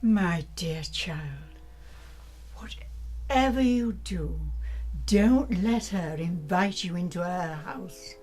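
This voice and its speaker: A woman playing a grave, deliberate figure who cautions a child, in dramatic dialogue. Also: lowish voice, dark tone. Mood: grave warning. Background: faint music.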